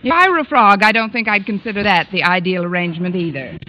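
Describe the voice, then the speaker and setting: An American actress playing a sardonic, lovestruck high school English teacher in a radio comedy, delivering dry, deadpan wisecracks. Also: female voice, quick pace, natural voice. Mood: wry, insinuating. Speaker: lead actress in a radio sitcom. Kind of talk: old-time radio comedy acting. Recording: dull, noisy vintage radio broadcast recording.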